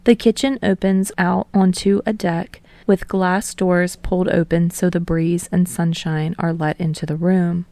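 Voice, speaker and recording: A woman's voice: on the low side, flat delivery, measured pace, hardly any pauses, mellow, no room sound. A woman reading scripted fiction as an audiobook narrator.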